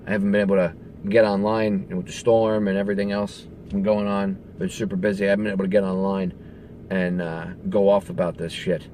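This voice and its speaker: A man's voice: low-pitched, flat delivery, brisk, dark tone, slight background noise. A man speaking as a radio show host, delivering a casual, emphatic, conversational rant with some swearing. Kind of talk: casual political rant to camera.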